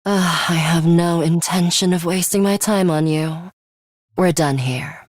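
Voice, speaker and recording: A woman's voice: on the low side, steady tone, measured pace, no room sound, studio quality. A woman voicing an aloof, scornful young female fighter with a sadistic streak in a video game, curt and condescending in manner. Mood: cold, scornful. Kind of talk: English video game voice acting.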